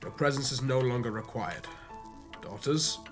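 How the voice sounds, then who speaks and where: A man's voice: mid-range voice, normal speed, over loud music, natural voice. An actor playing a strict, middle-aged Victorian father, formal and commanding in a period radio drama. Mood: angry, commanding. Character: strict, controlling Victorian patriarch, stern and condescending.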